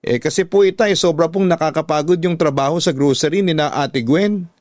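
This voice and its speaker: A man's voice: a little high, brisk, a little mellow, no echo, clean studio sound, close to an everyday voice. A man voicing a weary, defiant teenage daughter who defends herself pleadingly in a radio drama. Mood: defensive. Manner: pleading, defensive, talking back. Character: weary defiant nineteen-year-old daughter, a housemaid.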